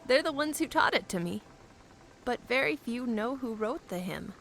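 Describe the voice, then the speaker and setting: A woman's voice: on the high side, lively intonation, normal speed, vocal fry, fairly bright tone, slight background noise. A woman playing an earnest, wistful young airship pilot in a fantasy audio drama. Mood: wistful, confiding.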